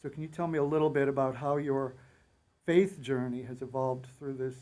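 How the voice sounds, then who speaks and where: A man hosting a faith-focused TV talk show, asking steady, attentive questions in the studio. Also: medium pitch, fairly quick. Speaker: host. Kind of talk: TV interview hosting. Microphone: studio TV set.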